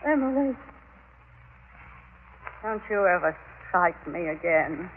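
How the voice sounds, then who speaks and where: An actress playing a spiteful, unhinged older spinster sister in a 1940s radio thriller, taunting and gloating melodramatically. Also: female voice, lowish voice, lively intonation, takes it slowly. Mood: gloating, crazed. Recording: noisy lo-fi vintage radio broadcast.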